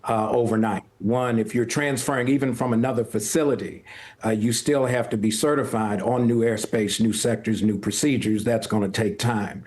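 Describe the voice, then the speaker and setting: A man gives analysis as a guest on a news broadcast. His mid-range voice is measured and deliberate, and he often frames his points as questions. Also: medium pitch, steady tone, a little mellow. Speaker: news guest, former air traffic controller. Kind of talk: live news interview analysis.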